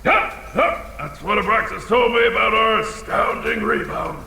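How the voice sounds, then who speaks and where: A man voicing a battle-scarred old dragon in an audio drama, fiercely proud and bombastic, with a gravelly, folksy delivery. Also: high-pitched, big pitch swings, normal speed, bright, forceful tone, full character voice. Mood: proud, rambling. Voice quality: gravelly, creaky. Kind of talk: audio drama character acting. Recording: reverberant chamber, some background noise.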